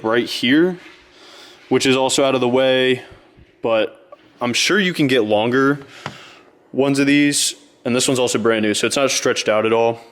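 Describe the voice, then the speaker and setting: A man's voice: medium pitch, fairly bright tone, a little hiss or hum. A man hosting a casual how-to vlog, explaining his work in an easygoing, chatty way while working in a garage. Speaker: host of a car build channel. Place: garage, inside a vehicle.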